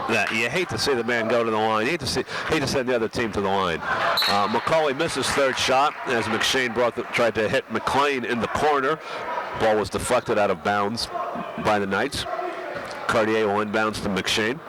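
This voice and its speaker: A man with a head cold, a little nasal and hoarse, calling a high school basketball game play by play with frequent restarts. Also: moderate pace. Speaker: play-by-play announcer. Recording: loud crowd and gym noise behind. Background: crowd and bouncing basketball.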